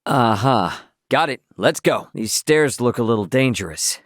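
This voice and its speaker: An actor with an American accent playing a brash, easygoing spacefaring hero in a video game, with a cocky, slangy, joking delivery. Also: male voice, mid-range voice, expressive, unhurried, no room sound, clean studio sound, natural voice. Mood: casual, musing. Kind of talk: video game voice lines, battle barks and chatter.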